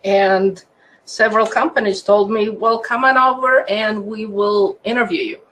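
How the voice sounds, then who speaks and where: A woman's voice: medium pitch, measured pace, fairly bright tone, slight background noise, poor audio. A woman in her fifties from Croatia, speaking English with a Croatian accent as an interview guest, telling her story in a chatty way with frequent laughs and restarts. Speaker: guest, land surveyor.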